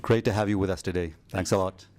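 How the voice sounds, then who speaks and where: A man interviewing a startup founder, asking brisk, upbeat questions. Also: low voice, fairly even intonation, a little mellow, slight background noise.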